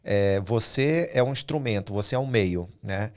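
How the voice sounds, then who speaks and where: A man's voice: fairly low pitch, lively intonation, moderate pace. A man hosting a podcast, talking casually as he leads an interview. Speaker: podcast host.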